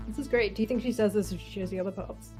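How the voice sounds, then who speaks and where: A woman's voice: medium pitch, steady tone, rapid-fire, mellow, soft background music. A woman in her twenties chatting casually and thoughtfully during a let's play, with some hesitation. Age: 20s. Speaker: game streamer, PhD student.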